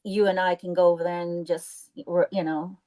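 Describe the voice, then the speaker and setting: A woman's voice: fairly low pitch, level tone, moderate pace, a little mellow. A woman chatting casually on a live stream as a co-host, with an informal, outspoken and indignant way of talking.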